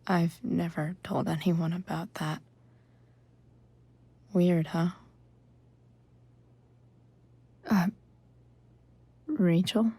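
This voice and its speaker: Gravelly, guarded teenage girl, slow. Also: lowish voice, warm tone, no echo, heavily acted. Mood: wistful, vulnerable. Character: wounded, guarded teenage girl, wry and grieving. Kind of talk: naturalistic video game acting.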